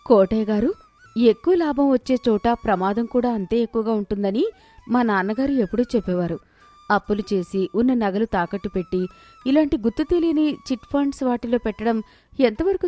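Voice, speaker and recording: A woman voicing a gentle, sensible and patient village housewife who speaks politely in an animated moral story. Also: a little high, moderate pace, soft background music, close to an everyday voice. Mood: cautioning.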